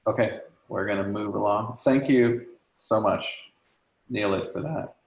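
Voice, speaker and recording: A man's voice: on the low side, measured pace, vocal fry. A man hosting an online meeting, talking casually with many fillers while sharing his screen and losing his voice. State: losing his voice, clearing throat. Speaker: meeting host. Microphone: video call. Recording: echoey lo-fi video call.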